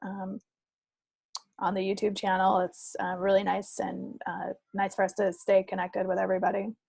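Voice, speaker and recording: Woman, gravelly and slightly raspy, friendly casual podcast co-host, dry close sound. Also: medium pitch, completely flat delivery, normal speed, a little mellow, no echo. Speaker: co-host of a knitting and crafts podcast. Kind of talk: casual unscripted podcast chat.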